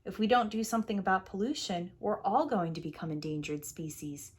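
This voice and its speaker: A woman's voice: mid-range voice, a little fast, a little mellow, slightly rough, natural voice. A woman voicing a solemn male bear professor in a storybook, explaining things earnestly. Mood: grave, earnest.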